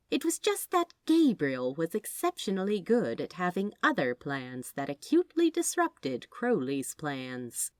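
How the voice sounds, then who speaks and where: Female audiobook-style narrator, lowish register, very animated intonation, dry sound. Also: no room sound. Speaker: podfic narrator. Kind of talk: expressive scripted story narration.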